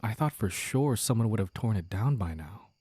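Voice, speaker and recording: A man playing a playful, teasing young man in an intimate romantic audio roleplay, with halting banter and mock-dramatic asides. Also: on the low side, expressive, a little mellow, breathy edge, no echo. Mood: warm, nostalgic. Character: playful, teasing young man sneaking out with his childhood sweetheart.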